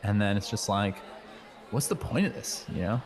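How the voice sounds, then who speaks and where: A man's voice: lowish voice, moderate pace, some chatter behind, close to an everyday voice. A man playing a laid-back, chummy teenage schoolboy in an improvised radio play, rambling in casual slang. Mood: easygoing, philosophical.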